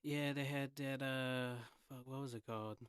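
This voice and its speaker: A man's voice: medium pitch, steady tone, normal speed, a bit bright, smooth, no echo, clean studio sound. A man from the San Francisco Bay Area telling stories as a podcast guest in casual, slangy talk with some swearing. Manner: slangy, laid-back phrasing, swears casually. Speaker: podcast guest, hip hop artist. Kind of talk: casual conversational storytelling.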